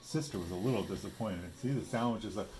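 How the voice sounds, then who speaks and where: A man's voice: fairly low pitch, fast talker, a little mellow, a little hiss or hum, poor audio. A man reading a picture book aloud with casual asides, explaining simply as if to a young child. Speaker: storybook reader.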